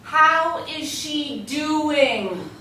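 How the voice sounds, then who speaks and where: A woman's voice: sits high, animated, extremely slow, bright, forceful tone, heavily acted. Actress as bitter mother in an echoey room. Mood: hostile, worried. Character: bitter, accusing mother, sharp-tongued. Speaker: actor in a staged script reading. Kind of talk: dramatic screenplay table read. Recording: echoey, distant, noisy room recording.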